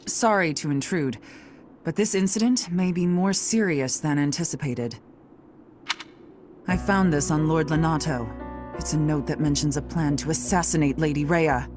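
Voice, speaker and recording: Actress playing a low-voiced, serious female knight. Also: moderate pace, music in the background, lightly acted. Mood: urgent, serious. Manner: businesslike, grave, direct. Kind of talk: video game voice acting.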